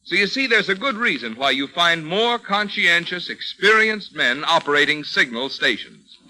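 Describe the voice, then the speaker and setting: A man announcing in polished, emphatic 1940s radio style, delivering sponsor pitches and program credits in measured phrases. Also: animated, pushed and bright, rough recording. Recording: old 1940s radio recording.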